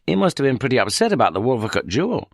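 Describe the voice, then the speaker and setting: A man playing a patient police sergeant who speaks quietly, gently and hesitantly. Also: mid-range voice, very animated, rapid-fire, gravelly, no echo, clean studio sound, pitched above the performer's own voice. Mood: gentle.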